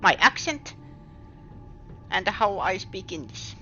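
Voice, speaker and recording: Finnish-accented woman streamer, halting, hunts for words, slow and lively, dull lo-fi audio. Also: mid-range voice, faint music behind. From Finland. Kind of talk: casual live-stream chat while gaming.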